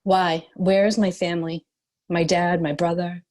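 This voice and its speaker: A woman's voice: fairly low pitch, flat delivery, unhurried, darkish tone, natural voice. A woman playing a stubborn, prickly bounty cop in a screenplay table read, terse and combative. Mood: alarmed, demanding.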